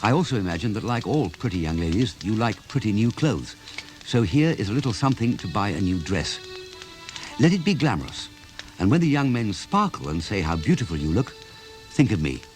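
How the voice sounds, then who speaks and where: A man's voice: on the low side, big pitch swings, a little fast, a little mellow. An actor playing a sentimental, blustering middle-aged English writer and father in a radio play, with a wistful, florid, theatrical delivery. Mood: wistful, tender. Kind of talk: radio play acting, reading letters aloud. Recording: music behind the voice.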